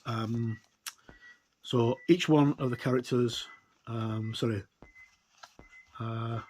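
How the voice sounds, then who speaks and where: A man giving a casual, unscripted product walkthrough as a video reviewer, talking in a halting, conversational way. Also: medium pitch, darkish tone, a little hiss or hum. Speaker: game reviewer and video presenter.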